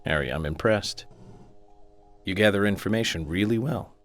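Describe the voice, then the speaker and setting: An actor playing a cool, confident federal agent in a video game, speaking in a dry, direct way. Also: male voice, on the low side, moderate pace, natural voice. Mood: dry, probing. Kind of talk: video game cutscene acting.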